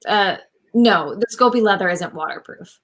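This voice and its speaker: A woman's voice: medium pitch, lively intonation, moderate pace, natural voice. A woman in her thirties playing a proud, dry-witted eco-inventor in a comedic radio play over a video call. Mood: worried. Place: room at home, on a video call.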